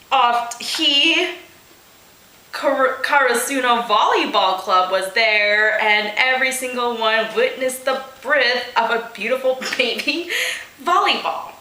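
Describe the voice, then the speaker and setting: A woman's voice: fairly high pitch, pushed and bright. A woman doing a comedic read-aloud of a story as host, amused and exasperated, adding asides as she goes. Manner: amused, breaks into giggles while reading, exasperated asides. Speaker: host of a fanfiction reading show. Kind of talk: comedic dramatic reading with asides. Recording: roomy, echoing room sound.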